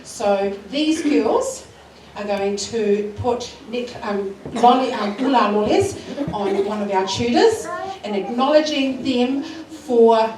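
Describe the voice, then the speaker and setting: Nz woman talking to a school assembly, bit high, far off in an echoey hall, lots of ums. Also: slow. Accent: New Zealand. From New Zealand. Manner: warm, emotional thanks with fillers. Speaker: school culture week organiser. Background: hall ambience and noise.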